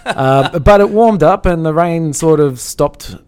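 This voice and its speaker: A man's voice: fairly high pitch, a little slow, a little mellow, clean tone. An Australian man co-hosting a finance podcast in a studio, talking casually and filling his speech with ums and restarts. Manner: chatty, hesitant with many fillers. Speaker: podcast co-host, finance analyst. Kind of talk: casual chat.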